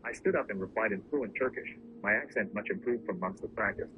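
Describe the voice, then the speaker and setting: A man narrating a first-person story in a steady, even storytelling delivery. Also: fairly low pitch, fairly quick. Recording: muffled lo-fi recording with clear background noise.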